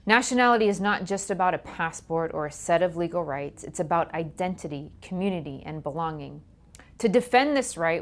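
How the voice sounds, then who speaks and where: A woman hosting a human rights program, delivering a scripted educational talk in a measured, earnest way, her voice fading quieter at the ends of phrases. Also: fairly low pitch.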